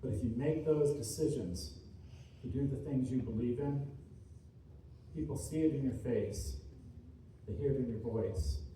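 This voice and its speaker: A man giving an inspirational speech to a room, earnest and deliberate, speaking in short, emphatic, repeated phrases. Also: mid-range voice, dark tone. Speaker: motivational speaker, author. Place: large indoor room at a seminar. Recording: distant mic, echoey room, faint noise.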